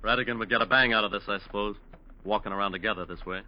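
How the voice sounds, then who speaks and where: Desperate male attorney played by an actor, low-pitched, clipped and defensive, vintage radio crime drama with dulled sound. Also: steady tone, very fast, lightly acted. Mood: guarded, wary. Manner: agitated, evasive, clipped. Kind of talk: 1940s radio drama acting. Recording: muffled old radio recording.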